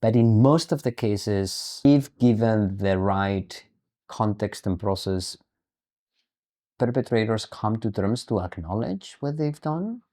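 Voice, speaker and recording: A middle-aged man speaking as a guest in an interview, measured and deliberate, often repeating words as he works through a thought. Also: on the low side, expressive, dark tone. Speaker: podcast guest, human rights activist. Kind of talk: interview conversation.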